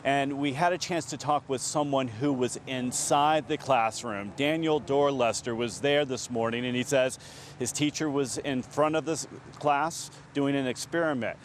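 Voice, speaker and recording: Male field reporter, American, mid pitch, live outdoor hit with faint traffic behind. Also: moderate pace. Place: outdoors on a street outside a school.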